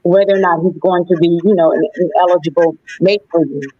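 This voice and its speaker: A woman co-hosting a discussion on a video call, talking in a measured way with many "you know" fillers. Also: fairly low pitch, fairly even intonation, warm tone, slightly rough, no echo. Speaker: co-host. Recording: dull, dry video call audio.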